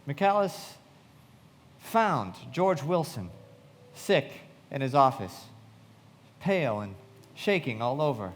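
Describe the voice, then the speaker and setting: A man playing a sombre narrator recounting a tragedy in a radio play, with measured, solemn delivery. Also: medium pitch, very animated. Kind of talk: dramatic scripted narration. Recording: reverberant hall.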